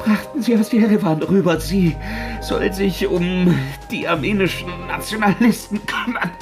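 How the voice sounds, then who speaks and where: A man's voice: high-pitched, moderate pace. An actor in an audio drama playing a flustered male Soviet journalist, indignant and fearful under threat. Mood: cowed, pleading. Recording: loud music under the voice.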